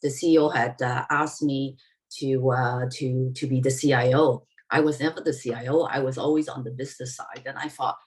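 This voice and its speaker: A woman panelist on a video call telling a career story, halting at first with many fillers and repeats. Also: very deep voice, distant mic. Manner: halting start, lots of uh and repeats, lively storytelling.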